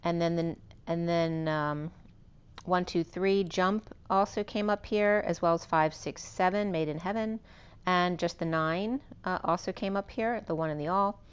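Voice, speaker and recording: Hoarse woman giving a rambling tarot reading. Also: fairly low pitch, unhurried, dull audio. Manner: meandering, self-correcting, lists cards and numbers. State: losing her voice. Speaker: tarot reader. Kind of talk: unscripted spiritual tarot reading.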